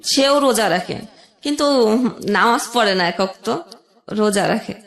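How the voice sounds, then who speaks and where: A young woman telling an emotional personal story as a guest, halting, with pauses and restarts. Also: mid-range voice, lively intonation, a bit bright, echoey room.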